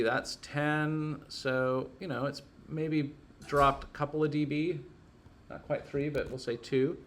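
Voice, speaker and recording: A man's voice: mid-range voice, sharp tone. Male teacher thinking aloud, noisy background. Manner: informal, thinking aloud step by step. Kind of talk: casual hands-on technical lesson, working through steps aloud.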